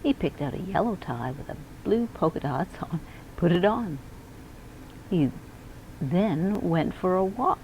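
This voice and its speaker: A woman reads a storybook to children with lively, swinging intonation. Her voice sits a little low and has a crackly edge. There is faint background noise. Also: measured pace. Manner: expressive, acts out dialogue and emphasis. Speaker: school media specialist reading aloud. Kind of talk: children's storybook read-aloud.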